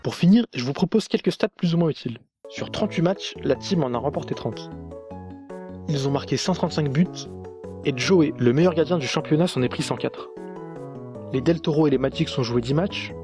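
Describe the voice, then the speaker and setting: A man's voice: fairly high pitch, normal speed, music in the background. A man reading scripted commentary for a video review in a sarcastic, critical manner.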